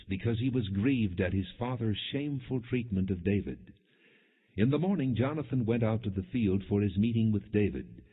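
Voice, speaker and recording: Deep-voiced male scripture narrator, muffled narrowband audio. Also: slightly rough.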